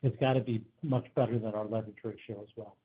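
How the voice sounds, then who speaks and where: A man speaking as a company executive, answering investor questions off the cuff in measured corporate language over a conference call. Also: fairly low pitch, fairly even intonation, breathy edge. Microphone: conference phone call. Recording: narrow tinny phone line.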